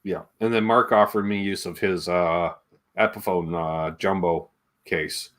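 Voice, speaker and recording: A man's voice: low-pitched, steady tone, measured pace, fairly bright tone. A man hosting a livestream in a casual, chatty way, wandering between asides and viewer comments. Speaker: livestream show host.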